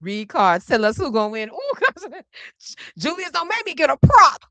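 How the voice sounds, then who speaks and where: A woman hosts a casual political livestream, reacting to her chat with jokes, laughter and plenty of swearing. Her voice sits somewhat high. It is recorded close and dry. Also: very animated, unhurried, bright, forceful tone, no room sound. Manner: chatty, laughing, profane, teasing the chat. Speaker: podcast host.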